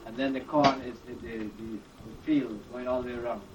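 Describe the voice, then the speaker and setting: A man explains things slowly and patiently, laying them out one step at a time in an easy, conversational way. He is heard from a distance, with clear background noise under his voice. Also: medium pitch, steady tone, dull audio. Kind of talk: casual chat.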